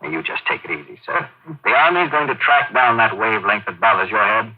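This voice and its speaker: A man's voice: fairly low pitch, sing-song, fairly quick, slightly rough. A man playing a dutiful, earnest army aide with deferential, clipped military formality in an old-time radio drama. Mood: calm, reassuring. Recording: tinny, noisy old radio recording.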